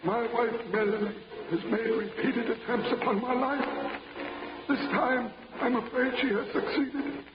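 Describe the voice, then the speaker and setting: Echoing, solemn dying husband. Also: male voice, extremely high pitch, fairly even intonation. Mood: dying, accusing. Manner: solemn, halting, deliberate. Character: dying husband reading his last accusing letter. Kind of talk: 1940s radio acting. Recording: echoey, muffled radio recording with music.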